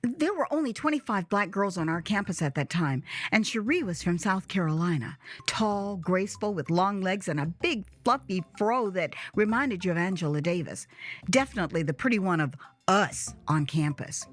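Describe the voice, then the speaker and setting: Very animated woman storyteller. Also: mid-range voice, normal speed. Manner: theatrical, expressive, emphatic delivery. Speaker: storyteller, author reading own memoir. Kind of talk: dramatized first-person storytelling.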